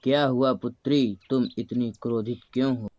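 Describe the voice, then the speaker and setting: A man voicing a calm, benevolent tree god in a cartoon moral story, speaking in a measured, formal, fatherly way. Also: mid-range voice, no room sound, muffled recording, natural voice. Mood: calm, puzzled. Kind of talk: Hindi animated moral story acting.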